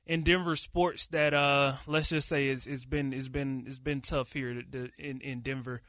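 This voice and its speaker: A man co-hosting a casual sports podcast, talking with animated energy and frequent restarts. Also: medium pitch, normal speed, dry recording, studio quality. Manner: animated, hype-filled delivery with many restarts. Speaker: podcast co-host, radio producer.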